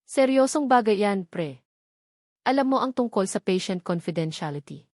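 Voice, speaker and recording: A woman's voice: mid-range voice, animated, moderate pace, a little mellow, dry recording, studio quality, close to an everyday voice. A woman voicing a male doctor in a story, a casual buddy who is cautious and wary. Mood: serious, wary. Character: joking male doctor friend turned serious and cautious. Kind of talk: storybook character dialogue.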